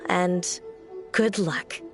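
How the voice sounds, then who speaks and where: Brief: courteous young shrine maiden, sincere and respectful. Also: female voice, lowish voice, extremely slow, music in the background. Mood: earnest. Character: polite, earnest young female shrine maiden. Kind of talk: video game dialogue.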